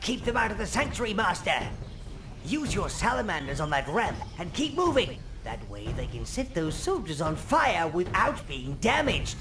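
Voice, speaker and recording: A fussy old minion advisor. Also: male voice, high voice, sing-song, normal speed. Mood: alarmed. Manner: urgent, fussy, servile nagging. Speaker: advisor to the player. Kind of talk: video game cutscene voice acting. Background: game music.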